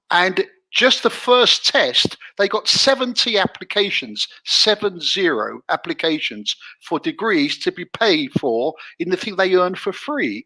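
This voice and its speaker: A man's voice: high voice, pushed and bright, rough recording. A man with an English accent, co-hosting a casual discussion, emphatic and tagging points with "right?". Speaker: co-host.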